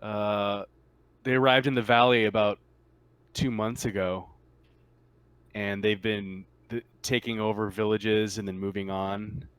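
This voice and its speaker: A man with a slightly low, clear voice narrates a tabletop game as its game master. He improvises casually, feeling out his phrases as he goes. The sound is close and dry, with little room in it. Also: fairly even intonation, unhurried, fairly bright tone, no room sound.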